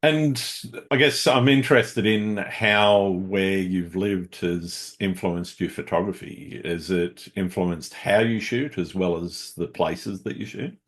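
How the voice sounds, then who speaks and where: Man, deep low voice, podcast host, short encouraging replies, asks questions. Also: slow pace, hardly any pauses. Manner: brief encouraging interjections. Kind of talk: conversational interview.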